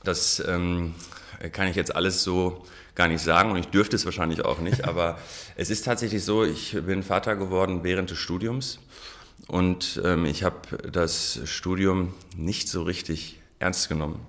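A very low-pitched man in his forties answers questions on a radio interview. He thinks out loud, pausing on frequent ums and circling back to restart his sentences. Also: fairly even intonation. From Germany. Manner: hesitant, many ums, restarts. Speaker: interview guest, sociologist.

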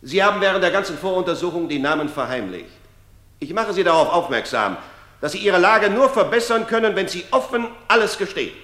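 An actor playing a stern judge who presses a defendant in a radio drama. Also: male voice, high voice, animated, brisk. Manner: stern, pressing, then shouting. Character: stern male Nazi-era judge interrogating. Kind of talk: 1950s radio drama acting. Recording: roomy hall reverb.

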